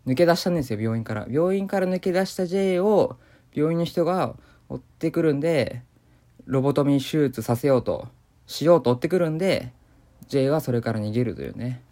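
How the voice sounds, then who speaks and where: A man giving improvised deadpan commentary as he plays a video game. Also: a little high, brisk. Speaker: gamer doing commentary. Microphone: voice memo recording.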